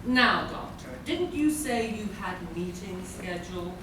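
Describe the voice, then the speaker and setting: A woman's voice: mid-range voice, animated, unhurried, lightly acted. A woman playing an imperious, secretive schemer with a haughty, commanding manner in a live radio play. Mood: smooth, evasive. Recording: echoey hall from far off, noisy.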